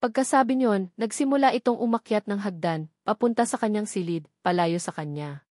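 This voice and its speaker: A woman's voice: mid-range voice, brisk, darkish tone, dry recording, clean studio sound. A woman narrating a scripted story dramatically as an audiobook narrator.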